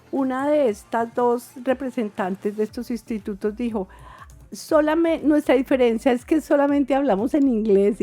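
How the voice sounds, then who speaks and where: A woman's voice: a little high, warm tone. A woman, an institute director and guest in a radio interview, who explains things thoughtfully.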